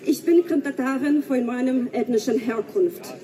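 High-voiced woman speaking outdoors. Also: moderate pace. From Crimea. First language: Russian. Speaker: activist. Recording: distant mic with loud street noise.

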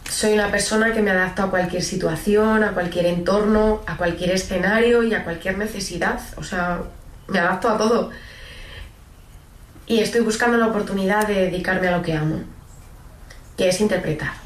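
A woman's voice: mid-range voice, normal speed, far from the mic. Young woman in an echoey audition tape. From Madrid. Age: 20s. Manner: earnest, heartfelt. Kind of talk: self-introduction to camera.

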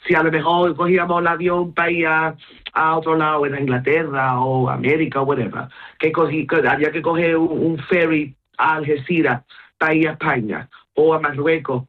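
A woman's voice: deep voice, fairly even intonation, normal speed. A middle-aged woman from Gibraltar with a Gibraltarian Llanito accent, chatting in an interview and switching between Spanish and English mid-sentence. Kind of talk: casual conversational interview. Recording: tinny phone-like line.

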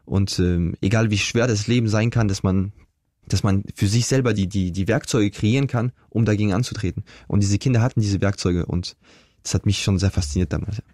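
A man's voice: on the low side, a little fast, darkish tone, no room sound, clean studio sound. A young man, an interview guest, telling his life story earnestly and reflectively, with frequent fillers and restarts. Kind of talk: casual conversational storytelling.

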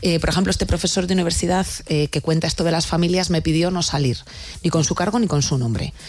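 Woman, low voice, theatre director, interview answers, wry, dry sound. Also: quick pace, a little mellow, no room sound.

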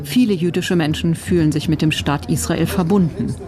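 A woman narrating a news report in a measured, even reporting voice. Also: lowish voice, background noise. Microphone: studio voice-over mic.

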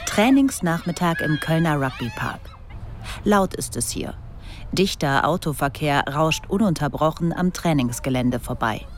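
Brief: female feature narrator, low and composed. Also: expressive, a little slow, a little hiss or hum. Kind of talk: scripted radio feature narration.

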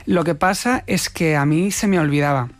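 A man with a Spanish accent from Spain, chatting in an expressive, colloquial way as an interview guest. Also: on the high side, normal speed, faint music behind. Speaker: guest actor being interviewed. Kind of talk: casual interview chat.

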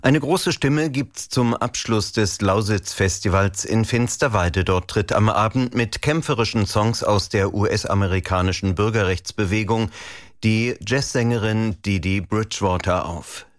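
A man presenting the news on regional radio in a formal, even read-out manner. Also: on the low side, unhurried, no room sound, studio quality. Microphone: studio microphone.

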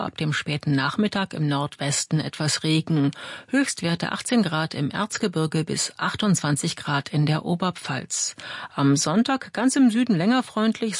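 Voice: mid-range voice, no echo, clean studio sound. A radio newsreader with a standard German accent, reading a scripted news and weather bulletin. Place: dry broadcast studio.

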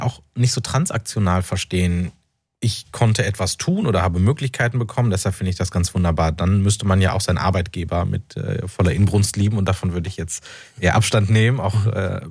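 A man's voice: on the low side, darkish tone, slightly rough, no echo, studio quality. A man from North Rhine-Westphalia, an author speaking reflectively as a radio interview guest, often searching for words. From North Rhine-Westphalia, Germany. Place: radio studio.

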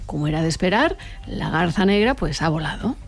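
A woman's voice: fairly low pitch, lively intonation, a little fast, gravelly. A woman co-hosting a show, presenting a story with a light, wry delivery. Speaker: radio show co-host.

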